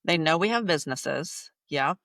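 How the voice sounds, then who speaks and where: A woman's voice: sits low, lively intonation, normal speed, creaky, a bit bright, dry recording. Thirties woman guest, blunt and punchy, hammers her points, close dry sound. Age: 30s. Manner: emphatic, blunt, repeats points for effect. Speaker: podcast guest, business coach. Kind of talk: casual interview talk.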